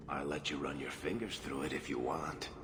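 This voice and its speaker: An actor playing a roguish, flirtatious, self-satisfied adventurer in a video game, teasing and smug. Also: male voice, a bass voice, fairly even intonation, moderate pace, echoey room, background noise. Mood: casual, teasing.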